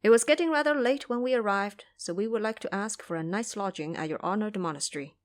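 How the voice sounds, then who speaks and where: A woman voicing a polite, humble and devout male monk on pilgrimage, speaking in a courteous, measured, reverent way. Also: medium pitch, close to an everyday voice.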